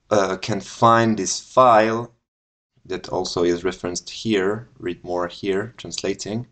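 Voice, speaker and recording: A man in his thirties from France with a French accent, giving a recorded conference talk from home and explaining things step by step with fillers and restarts. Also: lowish voice. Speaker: conference speaker. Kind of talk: recorded tech talk with screen walkthrough. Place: home room, indoors.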